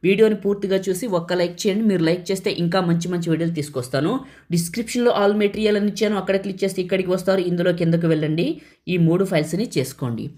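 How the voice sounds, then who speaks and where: Fast, low-voiced woman giving a tutorial. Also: warm tone. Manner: instructional, running through steps in short commands. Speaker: tutorial host. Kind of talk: step-by-step screen tutorial narration.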